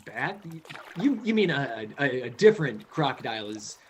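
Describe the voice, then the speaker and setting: A man's voice: medium pitch, very animated, moderate pace, natural voice. A man playing an anxious, skeptical young son in a radio play, stammering and full of questions. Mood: incredulous. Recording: noisy recording with rain-like hiss.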